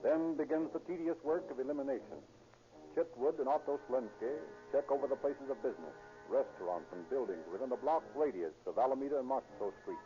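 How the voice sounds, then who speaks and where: Narrator male actor for vintage police radio drama: formal, solemn, measured, clear music bed, limited old-recording fidelity. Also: mid-range voice, lively intonation, highs cut off, lightly acted. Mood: solemn, suspenseful.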